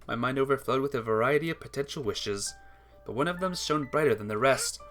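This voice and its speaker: Someone reading a story game out loud, earnest, bright voice, music in the back. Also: mid-range voice, a little fast. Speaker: visual novel player reading the text aloud. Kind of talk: narrated reading of a sentimental story. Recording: music behind the voice.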